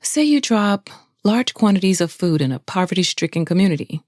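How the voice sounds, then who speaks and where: A woman playing a worldly middle-aged professor in an audio drama, calm and condescending as she lectures. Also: on the low side, brisk, dry recording, studio quality. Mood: lecturing.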